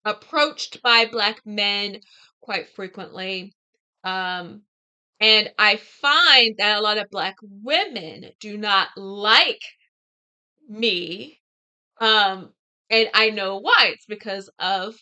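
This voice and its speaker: An American woman in her thirties talking casually and reflectively to camera, with halting, deliberate phrasing. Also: mid-range voice, bright, forceful tone, smooth. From United States. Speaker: vlogger discussing a book.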